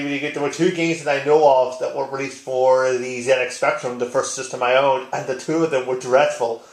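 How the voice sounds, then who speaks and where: A man's voice: a little high, roomy sound, poor audio. A man chatting informally and bluntly, giving his answers in a casual vlog style. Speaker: video blogger. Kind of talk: casual tag-response vlog chat. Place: living room, indoors.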